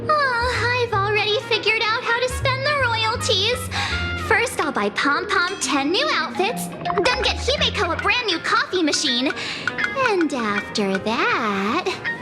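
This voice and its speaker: A woman's voice: very high voice, expressive, measured pace. A woman voicing an eager, childlike young hero in a video game. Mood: dreamy, excited. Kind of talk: video game character acting. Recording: loud music behind the voice.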